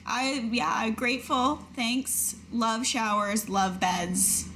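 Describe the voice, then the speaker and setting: A woman's voice: a little high, slow pace, fairly bright tone. Woman playing a dryly sarcastic young adventurer, noisy recording. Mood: casual. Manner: dry, sarcastic, casual. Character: sardonic, restless young female adventurer, sheltered and curious.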